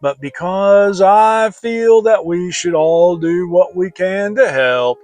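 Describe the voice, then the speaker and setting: Storybook donkey, old and male, played by a man: gloomy, pompous, very slow delivery over music. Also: high-pitched, darkish tone, dry recording, pitched above the performer's own voice. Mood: pompous, mournful. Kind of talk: dramatic children's storybook reading.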